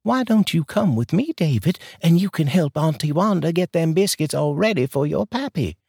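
A man's voice: on the high side, lively intonation, normal speed, vocal fry, darkish tone, slightly rough, dry recording, clean studio sound, voice pushed up, exaggerated voice. A man putting on a chatty Southern-drawling neighbor lady. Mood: folksy. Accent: put-on Southern drawl. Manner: folksy, talks your ear off. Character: chatty kooky neighbor wife in rollers.

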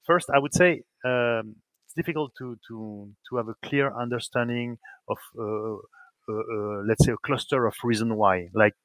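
A man's voice: mid-range voice, moderate pace, a bit bright, no room sound. A man with a French accent, answering questions as a guest in a podcast interview, hesitant with many restarts. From France. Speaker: guest, software company executive.

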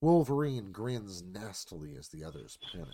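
A man's voice: sits low, very animated, moderate pace, dark tone. A man narrating a screenplay's stage directions in a live radio-theatre reading.

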